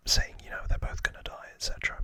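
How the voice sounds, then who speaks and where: A man's voice: quick pace, bright, forceful tone. A man whispering an informal ASMR recap into a close microphone, hesitant and rambling as he corrects himself. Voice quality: whispered, unvoiced. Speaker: ASMR video host.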